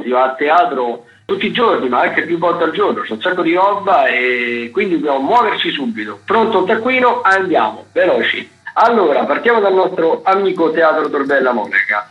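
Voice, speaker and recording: A man giving an informal theatre listings rundown on the radio, chatty and hesitant, often correcting himself. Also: a little high. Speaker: theatre critic, radio contributor. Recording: dull, band-limited radio audio.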